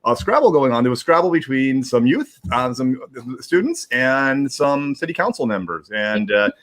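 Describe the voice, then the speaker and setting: A man's voice: mid-range voice, very animated, normal speed, a bit bright. A man hosting a livestream in casual, rambling talk, often repeating words as he goes. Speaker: host of a livestream. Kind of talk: casual chat.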